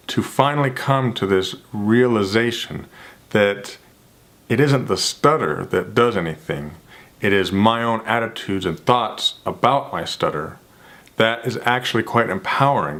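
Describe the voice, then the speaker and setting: A man's voice: lowish voice, a bit bright. A man who stutters, with repeated sounds and restarts, talking earnestly and reflectively to camera in a vlog. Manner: measured, earnest, self-reflective. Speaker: vlogger.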